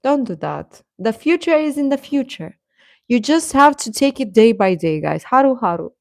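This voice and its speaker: A woman's voice: fairly high pitch, big pitch swings, moderate pace, gravelly, no echo, studio quality. A woman chatting casually on a livestream, giving earnest, encouraging advice and repeating phrases for emphasis in a reassuring way. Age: adult. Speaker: live streamer giving advice to teenage viewers.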